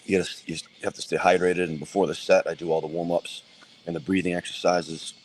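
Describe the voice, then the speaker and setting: A man, answering interview questions in a relaxed, plain-spoken way with many ums and restarts. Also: low-pitched, fairly quick, slightly rough. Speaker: metal band vocalist, guest.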